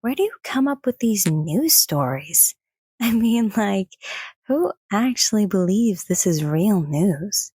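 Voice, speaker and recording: Woman playing gentle, slow-spoken young girl, soft-toned. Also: mid-range voice, expressive, breathy edge, no echo, clean studio sound. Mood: casual, friendly. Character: sweet, trusting daughter, child to mid-teens, telling family stories. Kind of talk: audio drama dialogue.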